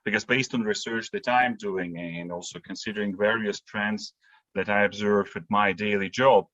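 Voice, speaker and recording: A man's voice: lowish voice, steady tone, normal speed, fairly bright tone, muffled recording. A man, giving explanatory answers as a podcast guest. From Latvia. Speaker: podcast guest, industry consultant. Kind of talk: interview answers, explanatory talk.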